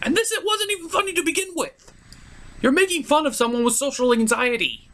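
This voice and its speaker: A man's voice: very high-pitched, lively intonation, a little fast, sharp tone. A man giving a scripted comedic review as host, with an exasperated, sweary, ranting delivery. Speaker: puppet-show reviewer and host.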